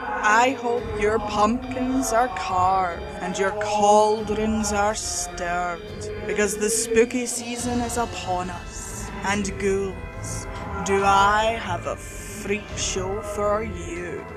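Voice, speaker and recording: Slow, theatrical woman narrating horror. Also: medium pitch, fairly even intonation, loud music behind the voice. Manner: slow, dramatic, spooky. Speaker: horror story narrator and channel host.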